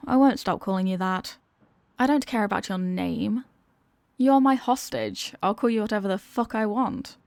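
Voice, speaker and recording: A woman's voice: mid-range voice, creaky, no echo. A woman playing a dominant, playfully cruel female villain kidnapper in an ASMR audio roleplay, taunting and commanding the listener. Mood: commanding, mocking. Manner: taunting, commanding, hushed threats mixed with mocking teasing.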